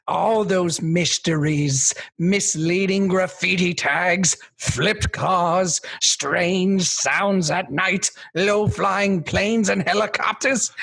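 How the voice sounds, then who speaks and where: High raspy evil parade king, slow and gloating, swoops all over, radio comedy villain. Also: bright, forceful tone, full character voice. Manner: taunting, drawn-out, bombastic. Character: gloating, theatrical male villain, a Mardi Gras king.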